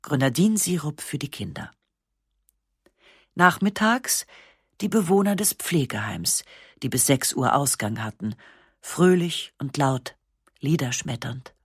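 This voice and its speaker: Low, husky woman reading calmly. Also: no room sound, studio quality. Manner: calm, measured, reflective first-person narration. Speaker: narrator. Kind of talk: scripted literary reading for radio. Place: dry studio. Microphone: close studio mic.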